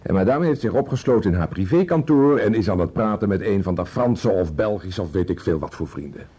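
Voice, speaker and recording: A man's voice: lowish voice, animated, fairly quick, dark tone, husky. An actor playing a self-righteous, grumbling policeman, pompous and indignant, in a radio drama.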